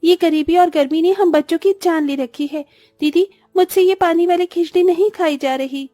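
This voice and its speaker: A woman's voice: very high voice, normal speed, warm tone, dry recording, faint music behind. A woman voicing a poor young orphan girl in a cartoon story, whiny and childlike. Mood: whining. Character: poor young orphan girls, plaintive little sisters. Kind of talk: scripted cartoon dialogue.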